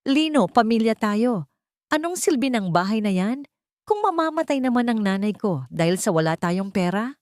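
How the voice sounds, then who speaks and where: Lady narrator doing a nasty scheming daughter-in-law, sharp n emphatic, story drama, pitched up, dry sound. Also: big pitch swings, normal speed, no room sound. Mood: impatient, pressing. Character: scheming, angry daughter-in-law, greedy and contemptuous.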